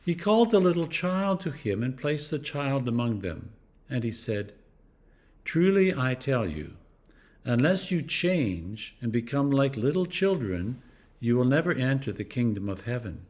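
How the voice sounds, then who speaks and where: A clergyman reading scripture aloud in a deliberate, expressive way, with dramatic emphasis and pauses. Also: mid-range voice. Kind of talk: scripture reading, devotional narration.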